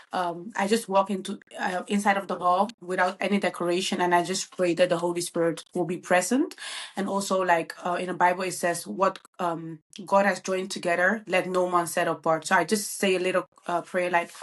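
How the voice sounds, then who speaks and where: A woman's voice: on the low side, little pitch movement, moderate pace. A bubbly woman. She answers interview questions casually, with frequent ums and uhs. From Netherlands. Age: 20s. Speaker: guest, event planner.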